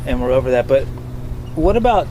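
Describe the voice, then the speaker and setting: A man's voice: mid-range voice, sing-song, fairly quick. A man asking questions in an informal, unscripted interview. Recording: clear wind and boat noise.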